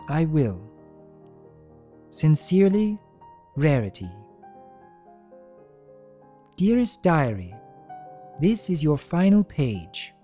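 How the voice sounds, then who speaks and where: A performer voicing a refined, sincere young female pony reading out a diary in a genteel, earnest, measured way. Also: mid-range voice, warm tone. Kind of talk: dramatic reading of diary entries.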